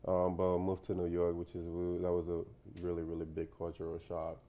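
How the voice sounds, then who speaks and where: Low, flat-voiced young man, relaxed. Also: a little fast, muffled recording. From Nigeria. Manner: relaxed, rambling, many fillers and "you know". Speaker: professional basketball player, guest. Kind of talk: casual interview talk.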